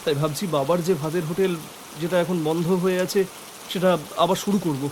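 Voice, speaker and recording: A man's voice: high-pitched, steady tone, brisk, a little mellow, a little hiss or hum, natural voice. A man voicing an earnest, hardworking village elder brother in a cartoon moral story. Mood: weary.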